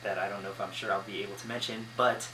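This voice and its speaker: A man's voice: fairly low pitch, level tone, fast, pushed and bright. A young man from America talking casually to camera in his bedroom, hesitant and full of ums and uhs. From United States. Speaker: vlogger. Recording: echoey room, noisy background.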